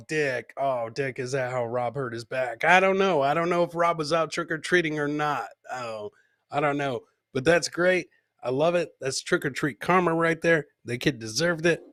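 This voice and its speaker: Higher-pitched man riffing on comedy clips. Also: sharp tone. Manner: repeats phrases for comic effect; jokey, mimics people in the clips. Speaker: host of a live comedy podcast. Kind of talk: joking commentary on funny clips.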